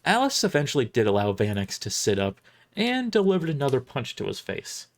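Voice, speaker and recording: Animated dramatic audio drama narrator. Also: medium pitch, fairly quick. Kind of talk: first-person dramatic scripted narration, live reading.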